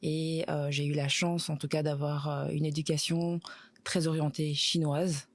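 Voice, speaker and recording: A woman in her thirties from Belgium, chatting as a podcast guest, hesitant with many fillers and quick to laugh. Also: low-pitched, little pitch movement, no room sound. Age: 30s. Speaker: podcast guest, content creator. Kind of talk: casual interview chat.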